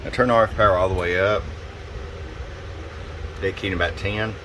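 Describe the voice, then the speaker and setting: A man's voice: sits low, moderate pace. A man giving a casual, folksy, unscripted product demonstration as a radio technician, talking directly to a customer. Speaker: radio technician presenting tune-up reports. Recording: clear steady background hum and noise.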